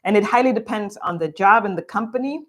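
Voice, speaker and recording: A woman's voice: mid-range voice, fast talker, fairly bright tone. A woman from Guatemala co-hosting a livestream, reading out viewer questions in a bubbly, laugh-filled way. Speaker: co-host.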